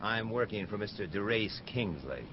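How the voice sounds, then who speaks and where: An actor playing a hard-boiled, wisecracking American detective, laconic and dry, in dialogue and noir narration for a radio drama. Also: male voice, lowish voice, expressive, moderate pace, muffled recording, slight background noise, close to an everyday voice. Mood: firm, pressing.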